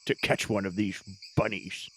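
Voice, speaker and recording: Gruff hammer-swinging brute played by a woman, very deep and husky, blunt and solemn, for a fantasy tabletop game. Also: fairly even intonation, normal speed, low-quality recording. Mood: eager, proud. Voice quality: gravelly, husky. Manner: blunt, solemn, stilted declarations. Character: gruff, simple, hungry male warrior with a hammer.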